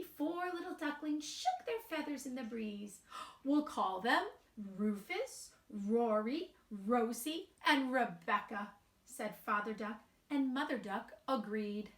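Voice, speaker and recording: A woman reading a children's picture book aloud in a deliberate, expressive way with emphatic pauses. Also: on the high side. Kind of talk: read-aloud children's storytelling. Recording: roomy, echoing sound.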